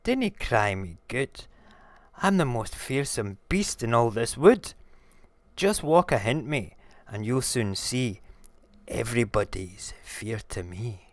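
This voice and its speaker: A man voicing a small, bold, boastful mouse in a storybook, with a Scottish accent and a cheeky, animated manner. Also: mid-range voice, slow, dry recording. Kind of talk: storybook character reading.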